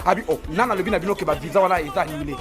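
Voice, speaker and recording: Congolese guy, quick high voice, emphatic, music playing clearly behind. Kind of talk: casual chat.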